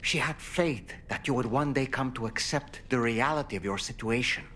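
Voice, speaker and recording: An actor playing an earnest, solemn confidant in a video game, speaking sincerely and deliberately. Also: male voice, mid-range voice, music in the background, lightly acted. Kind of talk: video game cutscene dialogue. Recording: faint background noise.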